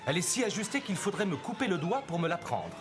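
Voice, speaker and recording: A man's voice: sits high, big pitch swings, quick pace, music in the background. A man playing a polite, earnest and wary young army officer in a French cartoon. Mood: polite, proud. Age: young adult. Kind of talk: French cartoon dub acting.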